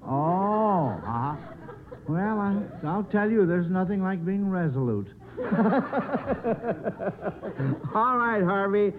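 A man with a slightly high voice hosts a 1950s radio panel show. He talks to children in a folksy, chatty way. The old recording is narrow and muffled. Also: big pitch swings, a little slow, background noise. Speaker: quiz show host.

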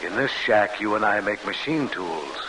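An actor playing a measured, quietly scheming prisoner machinist in 1940s radio drama style. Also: male voice, lowish voice, expressive, natural voice. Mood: conspiratorial. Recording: noisy vintage radio broadcast recording.

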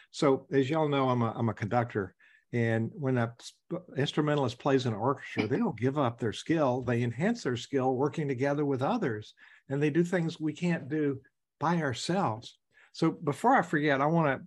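A man from the American South hosting a podcast interview in a chatty, enthusiastic way. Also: mid-range voice. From Southern United States. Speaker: podcast host.

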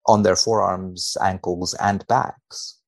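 A man speaking as a researcher guest on a podcast, dry and mock-serious in a measured delivery. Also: low voice, fairly even intonation, creaky. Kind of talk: podcast chat and reading aloud from a study.

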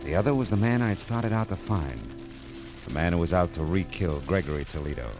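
A man's voice: low-pitched, brisk, over music, lightly acted. An American man playing a dry, insistent private detective in a vintage radio drama, with clipped, wry delivery. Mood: narrating grimly. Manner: clipped, wry, hard-boiled. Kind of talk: 1940s-50s radio detective drama acting. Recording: old radio recording with musical backing.

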